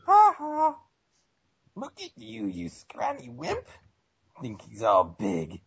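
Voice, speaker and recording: Wildly raspy cartoon bully villain. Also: male voice, extremely high pitch, wildly swooping pitch, full character voice. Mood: gleeful taunting. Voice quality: raspy, creaky, throaty. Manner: taunting, drawn-out, swooping. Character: mocking teenage male school bully with a monstrous cackling villain voice. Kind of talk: amateur cartoon script reading. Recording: lo-fi internet voice call.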